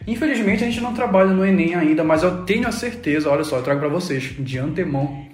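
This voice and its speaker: A man's voice: a little high, animated, quick pace, darkish tone, echoey room, faint music behind. A man teacher lecturing students emphatically during an educational panel talk.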